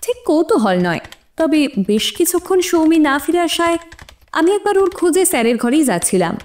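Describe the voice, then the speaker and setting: A young student giving testimony in a courtroom drama. Her voice is high and very mobile in pitch. She explains herself in a guarded, slightly hesitant manner. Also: very animated, a little fast, darkish tone. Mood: guarded, earnest. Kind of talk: courtroom audio drama dialogue.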